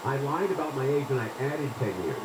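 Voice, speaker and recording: A man's voice: medium pitch, animated, brisk, echoey room, background noise. A man in his forties hosting a casual talk radio show, rambling and folksy, often tagging his sentences with "right?". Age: 40s.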